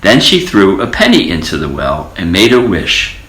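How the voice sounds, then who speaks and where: A man reading a children's storybook aloud as an expressive storyteller, in an echoey room. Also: low-pitched, normal speed. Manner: expressive storytelling with character voices. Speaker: storybook reader.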